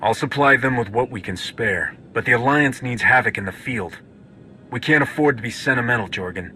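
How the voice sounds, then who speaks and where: An actor playing a confident, pragmatic rebel commander in a video game, decisive with a wry streak. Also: male voice, medium pitch, a little fast, pushed and bright, slight background noise, close to an everyday voice. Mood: firm.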